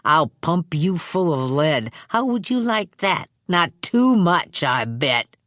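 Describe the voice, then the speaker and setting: A woman voicing a crude, cruel male henchman who taunts in a slow drawl. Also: fairly low pitch, big pitch swings, a bit bright, no echo, studio quality, exaggerated voice. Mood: crude taunting.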